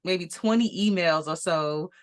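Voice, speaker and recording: A woman hosting a beauty podcast, chatting casually with her guest in a relaxed, conversational way. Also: on the low side, smooth. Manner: relaxed, conversational, quick asides. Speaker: podcast host. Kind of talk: casual interview chat.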